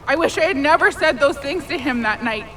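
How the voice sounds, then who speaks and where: Bright-voiced, remorseful, caring young woman. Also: high voice, expressive, fairly quick, a little hiss or hum, low-quality recording. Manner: earnest, plain-spoken, wry. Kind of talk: audio drama acting.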